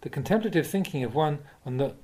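A man, a Buddhist monk, reading spiritual teachings aloud in a measured, deliberate, instructive way, like an audiobook of a talk. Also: a little high, animated, a little mellow. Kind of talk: audiobook-style reading of a dharma talk with questions and answers.

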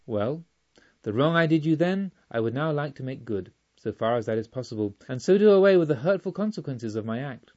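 A man voicing a dignified, menacing yet remorseful robber chief in an audiobook, speaking in a measured, formal way. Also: mid-range voice, expressive, a little mellow, highs cut off, natural voice. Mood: grave, earnest. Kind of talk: audiobook storytelling.

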